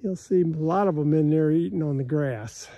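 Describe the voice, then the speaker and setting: Dark, creaky-voiced American farmer, folksy man. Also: a little high, normal speed, no room sound. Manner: folksy, plain-spoken. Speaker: cattle farmer, vlogger. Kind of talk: casual narration of farm chores to camera. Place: outdoors in an open pasture. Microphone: handheld phone held by the speaker behind the camera.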